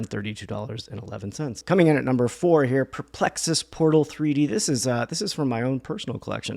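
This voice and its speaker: A man talking casually to his viewers in a rambling, chatty way, his voice hoarse and nearly gone. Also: medium pitch, a little mellow. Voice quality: gravelly. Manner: rambling, chatty, many fillers and restarts. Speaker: reseller vlogger. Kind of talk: casual talk to camera.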